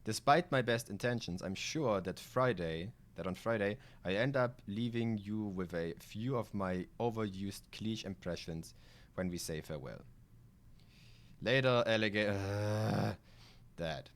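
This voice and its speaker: A man's voice: fairly low pitch, unhurried. A man from Germany with a German accent, a native German speaker, streaming a video game live with casual commentary, reading aloud and sweary, rambling asides.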